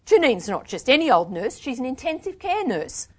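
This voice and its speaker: An ailing, affected woman as a woman reader plays her in a storybook reading, pitched high and girlish. She is tuneful and patronising, placating on the surface and stubbornly in denial underneath. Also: big pitch swings, moderate pace. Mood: defensive, wounded. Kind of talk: dramatic book reading.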